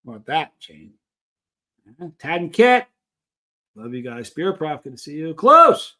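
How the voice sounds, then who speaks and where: A middle-aged man hosting a live stream, chatting casually and telling anecdotes in an animated way, acting out the things people said. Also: a little high, measured pace. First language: English. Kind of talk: casual chat answering live viewers, telling travel anecdotes.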